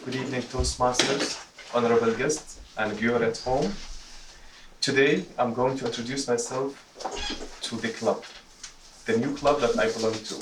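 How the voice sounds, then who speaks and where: A man from Jordan delivers a personal speech in a roomy, echoing space. He is picked up from a distance, his voice mid-pitched, and he moves haltingly through his phrases. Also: fairly even intonation, very noisy. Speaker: club member giving a first speech. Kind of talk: prepared personal speech to a club audience. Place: meeting room, recorded from a distance. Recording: roomy echo, distant microphone.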